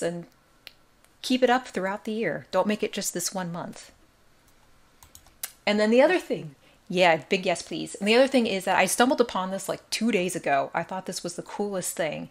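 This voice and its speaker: Raspy, tired woman podcast host. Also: lowish voice. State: tired from talking. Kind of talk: casual chat.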